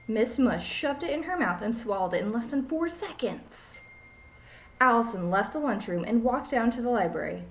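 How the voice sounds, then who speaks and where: Lively woman reading a children's story. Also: on the high side, normal speed, a little hiss or hum. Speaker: teacher reading aloud. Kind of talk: children's storybook read-aloud. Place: small home room, indoors. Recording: echoey room, webcam mic.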